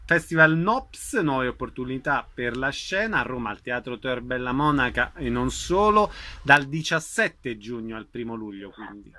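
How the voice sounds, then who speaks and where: A man's voice: medium pitch, expressive, moderate pace, hardly any pauses, sharp tone. A man hosting a live radio show, introducing guests and putting questions to them. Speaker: radio host.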